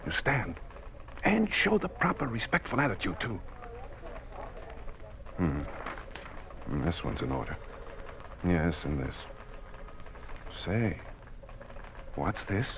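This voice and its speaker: A man's voice: sits low, big pitch swings, slow pace, pauses often, heavily acted. An irritable, pompous male supervisor in old-time radio style, over faint noise. His voice swings widely as he scolds and pulls rank. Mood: inspecting. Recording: noisy old radio recording.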